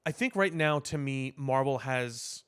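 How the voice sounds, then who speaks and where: A man co-hosting a casual podcast discussion, giving opinions in a halting way, repeating words as he builds his point. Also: medium pitch, fairly bright tone, clear, resonant tone. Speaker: podcast co-host.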